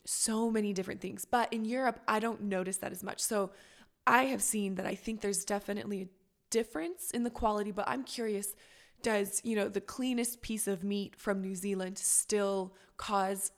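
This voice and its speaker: A woman hosting a podcast, chatty and asking questions from her own experience, with her voice going hoarse. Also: medium pitch, fairly even intonation, normal speed. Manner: chatty, asks personal questions. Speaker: podcast host. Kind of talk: casual interview chat.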